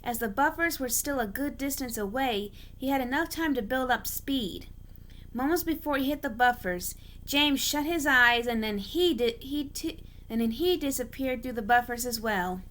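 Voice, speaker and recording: A woman narrates a story as an amateur reader. Her voice is fairly high and sharply bright. She often stumbles and goes back over a phrase. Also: animated, normal speed. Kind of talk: storybook narration read aloud.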